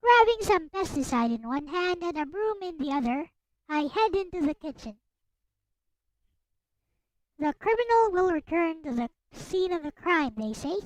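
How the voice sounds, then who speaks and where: A woman's voice: very animated, normal speed, no room sound, low-quality recording. A woman with a girlish voice reading a visual novel aloud as a streamer, with playful casual commentary. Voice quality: high, girlish voice. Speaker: streamer, host. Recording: music behind the voice.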